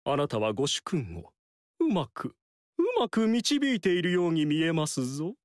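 An actor playing a vain male military strategist in a game, boastful and theatrical, with dialogue that swings between loud pride and soft slyness. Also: fairly high pitch, wildly swooping pitch, normal speed, no echo, clean studio sound. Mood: sly. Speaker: game character voice. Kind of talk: Japanese game dialogue acting. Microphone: dry studio mic.

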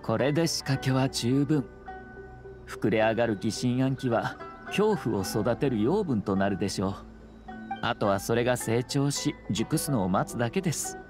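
An actor playing a calm, courteous, scheming young nobleman in an anime game, speaking in a measured, confident way. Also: mid-range voice, natural voice. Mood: composed, sly. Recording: music behind the voice.

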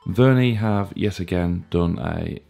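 Tech reviewer, male, 30s: very low, gravelly and chesty from a cold, laid-back with lots of ums, faint music underneath. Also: slow pace. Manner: relaxed, plain talk with frequent fillers and restarts. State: chesty, deep hoarse voice from a cold. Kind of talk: casual product review.

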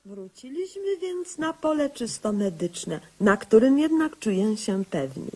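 A woman's voice: fairly high pitch, sing-song, unhurried, a little mellow, slightly rough, full character voice. An actress playing a self-important young woman doctor who narrates her own cases in a pompous, official way in a radio comedy sketch. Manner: pompous, bureaucratic, mock-official.

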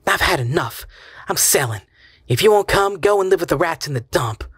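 A man's voice: lively intonation, a little fast, dry recording. A man voicing a desperate, weary middle-aged wife whose voice rises hysterically. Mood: resolute. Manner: rising hysterical pitch.

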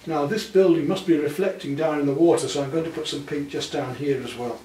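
A man's voice: a little high, fairly quick, a little mellow. A man in his sixties patiently teaching a student to paint, giving reassuring step-by-step instructions. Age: 60s. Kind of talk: hands-on demonstration to a student. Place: living room at home, indoors. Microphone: camera a few feet away. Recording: roomy, distant mic with background noise.

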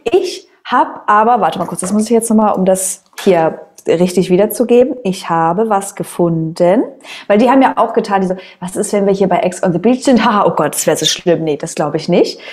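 A woman's voice: mid-range voice, a little fast, a little mellow. A woman in her twenties co-hosting a show, chatting casually and bluntly in slangy, wry talk. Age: 20s. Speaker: co-host of an online show. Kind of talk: casual chatty discussion of reality TV. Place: small indoor room at a desk.

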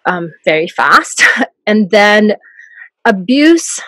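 Soft-spoken Japanese-accented woman. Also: medium pitch, very animated, very slow, no room sound. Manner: soft-spoken, laughs often while talking. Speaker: guest, life coach. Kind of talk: podcast interview.

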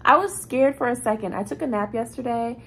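A young woman in her twenties chatting casually to a phone camera in her apartment, telling stories with an animated, chatty manner and sounding a little congested. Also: on the high side, a little fast, creaky, a little hiss or hum, rough recording. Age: 20s. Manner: chatty, animated storytelling with laughs. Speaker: vlogger. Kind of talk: casual vlog chat to camera. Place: bright apartment room with ceiling fan. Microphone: phone camera held low, close.

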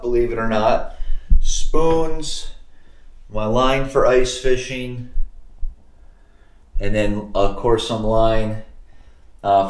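A man in his thirties hosting a fishing vlog, chatting casually with lots of fillers. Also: on the low side. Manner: relaxed, chatty, many fillers. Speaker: fishing channel host. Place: outdoors in a coastal salt marsh. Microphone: action camera held close. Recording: noisy outdoor camera audio.

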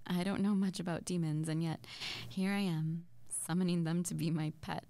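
Small flirty witch played by a woman, intimate roleplay audio. Lowish, creaky, breathy, stammering and awkward. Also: flat delivery, normal speed, warm tone, no room sound, close to an everyday voice. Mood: playful, flustered. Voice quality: breathy, often dropping to near-whisper. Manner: hesitant, stammering, giggly.

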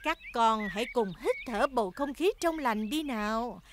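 A woman's voice: fairly high pitch, lively intonation, moderate pace, a little hiss or hum, close to an everyday voice. A woman voicing a kindly, encouraging gazelle teacher in a cartoon. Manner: encouraging, instructing children. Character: kindly female gazelle teacher leading young campers.

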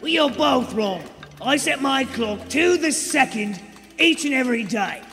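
Brash, high-pitched pitchman. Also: lively intonation, measured pace, pushed and bright, faint music behind, heavily acted. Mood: officious. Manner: showy patter, theatrical, bossy. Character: brash, pushy street salesman and bus conductor. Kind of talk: live radio play acting. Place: theater stage before a live audience. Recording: live theater hall, from stage.

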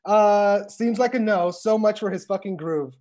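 A woman's voice: mid-range voice, normal speed, mellow. A woman reading script stage directions aloud at a table read in an informal, wry narrating style.

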